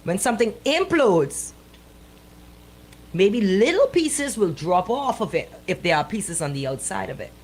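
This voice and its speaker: An animated woman bantering as a radio co-host, with a pitch that swings widely. She is emphatic and opinionated, hammering points home by repeating them in colloquial phrasing. There is slight noise behind her voice. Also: measured pace. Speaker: radio talk show co-host.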